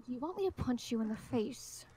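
A woman's voice: fairly high pitch, animated, fairly quick, a crowd in the background, lightly acted. A woman playing a blunt, confrontational survivor in an improvised zombie roleplay, speaking in a scolding way.

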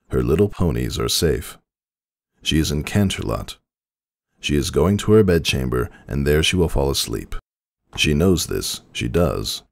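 A man narrating a story dramatically in a measured, solemn manner. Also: rumbling low, creaky, dry recording, clean studio sound.

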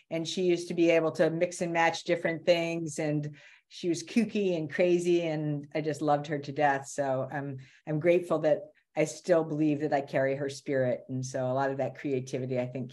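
A middle-aged woman from the Boston area, a career strategist talking casually as a guest on a video call, animated and restarting her words. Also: sits low. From Boston area, USA. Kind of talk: casual interview talk. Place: home room with shelves.

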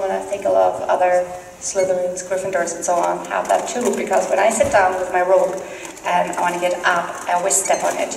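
A woman's voice: lowish voice, creaky. A woman in the audience casually asking a question at a convention. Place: large convention hall. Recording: echoey hall PA, distant, faint noise.

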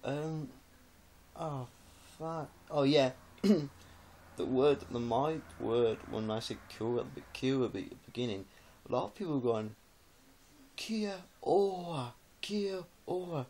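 A young man chatting casually and meanderingly to camera, halting often, swearing now and then and tagging phrases with "eh". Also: mid-range voice, gravelly, mellow. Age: young adult. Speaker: vlogger. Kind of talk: casual rambling chat to camera. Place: small indoor room, reclining against a wall. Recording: noisy webcam-style home recording.

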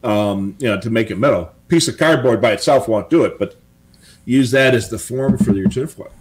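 A man's voice: medium pitch, moderate pace. A middle-aged man with an American accent, co-hosting from a home office in a casual, chatty style with practical tips. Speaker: co-host of a police scanner show. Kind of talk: casual chat with tips and anecdotes.

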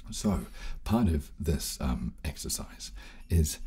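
A man in his thirties hosting ASMR, explaining gently and hesitantly in a soft, hushed voice close to the microphone. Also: very deep voice, expressive, takes it slowly, slight background noise. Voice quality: soft, hushed, breathy whisper at times. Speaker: ASMR video host. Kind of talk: soft-spoken and whispered ASMR, casual instruction. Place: small indoor room, seated at table. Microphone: close studio microphone.

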